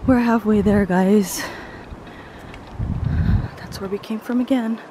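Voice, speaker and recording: A woman's voice: medium pitch, steady tone, slow pace, background noise. A woman in her thirties narrating her hike for viewers in a casual vlog, breathing hard and sighing as she climbs an outdoor trail. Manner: breathy, sighing, tired while hiking uphill. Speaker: vlogger. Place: outdoor coastal hiking trail. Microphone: handheld camera, held by the vlogger.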